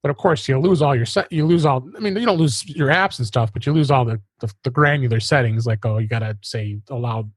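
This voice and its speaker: A man's voice: medium pitch, a little fast, few pauses, slightly rough, dry recording. A man hosting a casual tech podcast, rambling along and often repeating words as he restarts his sentences. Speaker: podcast host.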